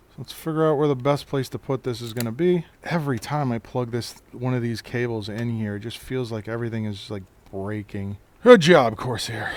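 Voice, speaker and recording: Deep-voiced male repair tech, laid-back, chatty stream narration at the bench, mid-pitch. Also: a little hiss or hum. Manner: relaxed, chatty, talks through each step and answers chat. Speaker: computer repair technician. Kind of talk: live-streamed repair walkthrough.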